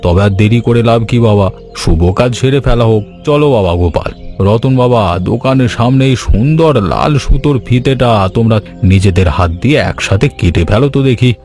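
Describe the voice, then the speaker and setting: A man's voice: mid-range voice, expressive, fairly quick, darkish tone, faint music behind, close to an everyday voice. A man voicing a kindly old village uncle in a cartoon story, with a fatherly, moralizing manner. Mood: warm, blessing. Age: older. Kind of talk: Bengali animated story voice acting.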